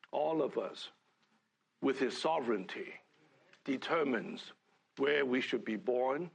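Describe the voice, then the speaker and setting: An older man from Hong Kong giving a halting sermon to a church gathering, full of fillers and restarts. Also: mid-range voice, creaky, slightly rough. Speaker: church speaker.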